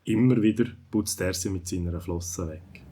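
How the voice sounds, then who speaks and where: A man's voice: low voice, normal speed, dark tone, slightly rough. A man with a Swiss German accent narrating a scripted children's story.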